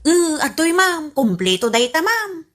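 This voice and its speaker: Brazen comic housemaid, actress, piercing high voice, very animated and cheeky. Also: female voice, a little slow, heavily acted. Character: cheeky, unafraid new housemaid seeking work. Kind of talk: comedy radio drama acting.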